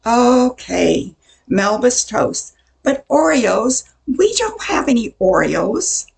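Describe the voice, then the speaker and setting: A woman's voice: fairly high pitch, very animated, slow pace, vocal fry, exaggerated voice. An older woman playing a brassy, short-tempered diner waitress with a blunt, sassy manner in an amateur radio play. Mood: indignant, scolding.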